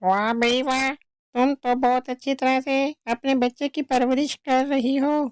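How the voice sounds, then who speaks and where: A woman voicing a sly, smooth-talking male crow with a nosy, falsely friendly manner in a cartoon story. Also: high voice, steady tone, a little slow, dry recording. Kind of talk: slow cartoon story dialogue.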